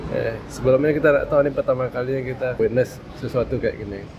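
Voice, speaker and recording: A man's voice: medium pitch, fast, noisy background. A man talking casually to the camera as a travel vlogger, explaining as he goes.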